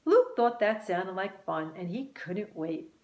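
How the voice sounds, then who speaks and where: A woman reading a children's story aloud as scripted narration, expressive and deliberate. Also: mid-range voice. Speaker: storybook reader. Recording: roomy, echoing sound.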